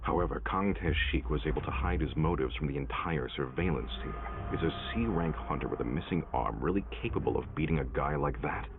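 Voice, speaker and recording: An actor playing a stern, calm investigator who speaks in a formal, measured, probing way. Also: male voice, extremely low pitch, background noise. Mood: cool warning. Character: stern, calm male investigator questioning hunters. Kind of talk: dramatic game voice acting. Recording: thin phone-like sound, music behind.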